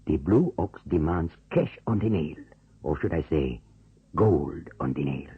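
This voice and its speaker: An actor in an old radio drama playing a measured, calculating communist officer, speaking formally and deliberately. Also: male voice, a bass voice, expressive, husky, dry recording, exaggerated voice. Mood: dry, explanatory. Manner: deliberate, formal, hesitant pauses. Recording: muffled, narrow-band old radio recording.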